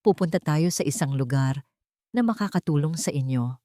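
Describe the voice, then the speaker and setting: A woman's voice: sits low, expressive, moderate pace, mellow, no echo, clean studio sound. A woman voicing a two-faced, scornful daughter-in-law in an audio story, mocking or falsely sweet with menace. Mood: sweetly menacing.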